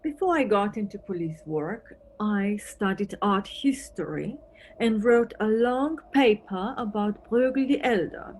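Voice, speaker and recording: A woman's voice: mid-range voice, expressive, unhurried, a little hiss or hum, lightly acted, poor audio. A woman playing an eccentric, playful, officious European policewoman in an audio play, theatrical and teasing, with German phrases thrown in.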